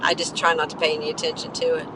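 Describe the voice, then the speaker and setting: A hoarse middle-aged woman chatting casually with her viewers during a live stream while driving. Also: low-pitched, wildly swooping pitch, quick pace. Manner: chatty, coughing between remarks. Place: inside a moving car. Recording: road noise from a moving car.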